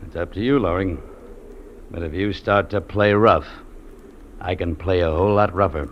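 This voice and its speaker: An actor playing a stern, unyielding Mountie sergeant, speaking curtly in 1950s radio drama style. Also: male voice, sits low, normal speed, natural voice. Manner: curt, stern, blunt. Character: hard, unyielding Mountie sergeant, adult man. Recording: dull, noisy old radio recording.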